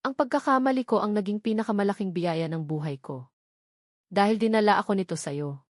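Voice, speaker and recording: A woman's voice: medium pitch, brisk, no room sound, clean studio sound, close to an everyday voice. A woman with a Filipino accent voicing a loving young mother in an audio love story, tender and emotional. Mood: joyful, moved.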